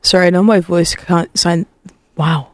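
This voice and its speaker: A man hosting a radio music show, hoarse from being sick, talking in a loose, halting way between songs. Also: high-pitched, fairly even intonation, no echo. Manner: loose, halting, with filler words. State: hoarse from illness. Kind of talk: casual radio DJ patter.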